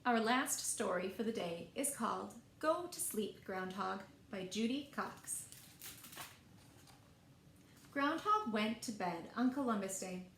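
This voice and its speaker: A woman reading picture books aloud as a storyteller, in an expressive, deliberate way meant for young children. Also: mid-range voice. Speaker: library storyteller. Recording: echoey room, faint background noise.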